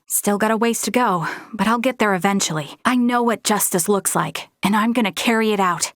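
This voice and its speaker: A woman voicing an earnest, determined young female soldier in a video game, with spirited, crisp military delivery. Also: mid-range voice, fairly bright tone, dry recording, clean studio sound, close to an everyday voice. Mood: confident, composed. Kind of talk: video game battle voice lines.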